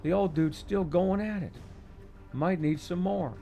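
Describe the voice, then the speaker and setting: A chatty, teasing waitress, as a male narrator puts her on, pitched up above his own voice. There is gravel under it and soft music behind. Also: measured pace. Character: young woman waitress, chatty.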